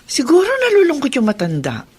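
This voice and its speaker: A woman's voice: sits high, huge pitch swings, a little fast, a little mellow. A woman playing a kind, practical middle-aged mother in a Filipino radio drama. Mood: caring.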